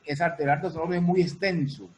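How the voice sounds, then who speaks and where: A woman's voice: sits low, brisk, a little mellow. A woman talks in a casual panel. She repeats herself for emphasis and checks that others follow, with some room noise behind her. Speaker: dance teacher and theater artist. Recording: noisy room sound with ambience.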